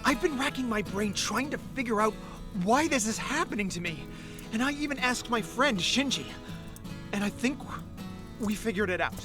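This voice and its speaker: A man acts an earnest ordinary guy in an audio play. He is emotional and very animated, hesitating and then insisting. Clear music plays behind him. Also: extremely high pitch, normal speed. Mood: nervous, pleading. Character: earnest, lovestruck ordinary guy, stubbornly determined. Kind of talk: amateur audio drama acting.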